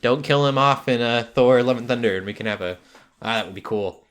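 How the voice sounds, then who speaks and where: A man's voice: medium pitch, a little fast, fairly bright tone, clean tone. A man hosting a podcast, chatting casually and conversationally about what he has watched. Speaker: podcast host. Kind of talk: casual chat about shows and films.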